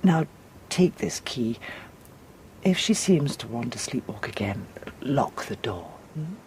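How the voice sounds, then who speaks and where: A woman playing an ailing, worried older mother in a gothic audio drama, genteel, halting and confiding. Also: extremely low pitch, sing-song, a little mellow, a little hiss or hum, exaggerated voice.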